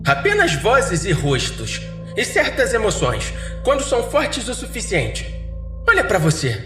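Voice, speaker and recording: A man voicing an eerie, condescending shapeshifting creature in the guise of a woman, with softened, taunting speech for a horror audiodrama. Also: high voice, huge pitch swings, unhurried, roomy sound, over music, voice pushed up, exaggerated voice.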